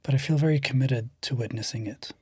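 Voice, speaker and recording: A guest in their thirties from Lebanon, speaking slowly and thoughtfully, with a stutter that often shows as silent pauses. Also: mid-range voice, mellow, husky, dry recording. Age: 30s. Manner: slow, measured and thoughtful. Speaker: guest, poet and writer. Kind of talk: reflective interview conversation.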